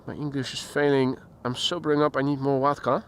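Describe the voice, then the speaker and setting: A man's voice: medium pitch, fairly even intonation, fairly quick. A man hosting a casual live stream outdoors, chatting with viewers and greeting them by name. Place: outdoors near an airport. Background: faint outdoor traffic and voices.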